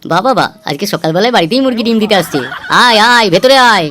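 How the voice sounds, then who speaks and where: A man's voice: high voice, animated, quick pace, sharp tone, slight background noise. A man voicing a pompous tantric holy man who speaks grandly in an amateur comedy cartoon. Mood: grand, welcoming.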